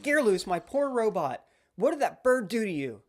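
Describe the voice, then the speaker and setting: A woman's voice: mid-range voice, very animated, moderate pace, a little mellow. A woman playing an earnest, pompous space hero captain, commanding and declamatory, in a comedy audio drama. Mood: distressed, vengeful. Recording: clearly noisy background.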